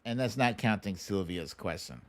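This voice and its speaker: A middle-aged American man hosting a livestream, chatting casually and answering viewer questions in an unhurried, musing way with pauses. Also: on the low side, expressive. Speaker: livestream show host.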